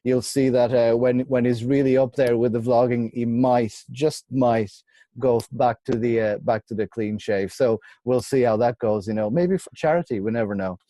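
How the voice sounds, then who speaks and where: A man from Portugal with a warm, mellow voice hosts a relaxed chat. He laughs often and stumbles over repeated words. The sound is dry and close, with no room echo. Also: mid-range voice, moderate pace. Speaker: podcast host. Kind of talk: casual interview chat.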